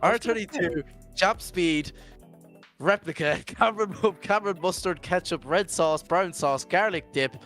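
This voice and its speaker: A Scottish man commentating a virtual drift event in a jokey, rambling way, his voice worn and going hoarse. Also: high voice, a little slow, fairly bright tone, soft background music. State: losing his voice. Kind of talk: live esports commentary.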